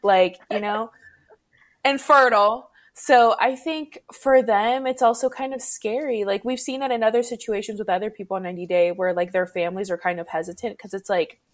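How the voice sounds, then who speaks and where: Mid-pitched woman explaining casually. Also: fairly even intonation, brisk. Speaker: podcast co-host. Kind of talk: casual discussion.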